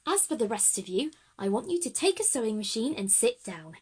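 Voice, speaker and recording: A woman's voice: fairly high pitch, big pitch swings, fairly quick, husky. A woman voicing a friendly, enthusiastic female textiles teacher in an audio drama for children.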